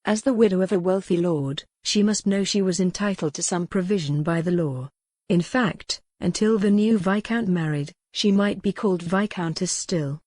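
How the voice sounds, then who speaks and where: A woman narrating an audiobook in a calm, even storytelling manner. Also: lowish voice, no echo. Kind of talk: scripted narration.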